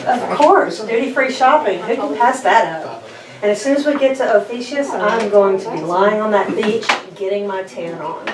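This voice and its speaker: A woman's voice: mid-range voice, moderate pace, lightly acted. A woman playing a teasing, playful female space ranger at an informal sci-fi table read. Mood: breezy. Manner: sarcastic, playful. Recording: distant echoey room mic, chatter behind.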